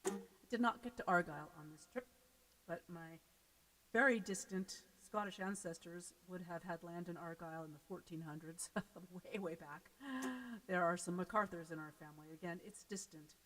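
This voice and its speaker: An American woman from Seattle, a folk singer chatting casually between songs on her live stream, quick and self-deprecating. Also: lowish voice, steady tone, fairly bright tone, big-room sound, low-quality recording. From Seattle, United States.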